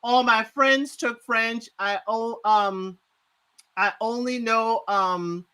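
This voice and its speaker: A man's voice: very high voice, fairly even intonation, slow, sharp tone, clear, resonant tone. A man born in Trinidad, chatting casually and playfully on a live show.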